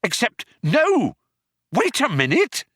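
A man's voice: fairly high pitch, wildly swooping pitch, normal speed, sharp tone, no room sound, pitched above the performer's own voice, heavily acted. A man voicing a flippant, resigned male alien traveller from a storybook, with a breezy, dry, offhand manner about doom. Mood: sudden excitement.

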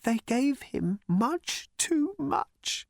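Frantic, high-pitched, half-mad journalist. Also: male voice, big pitch swings, fairly bright tone, no echo, studio quality. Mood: weeping, whimpering. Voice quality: whimpering, hoarse whisper rising to strained high cries. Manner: halting, repetitive, overwrought.

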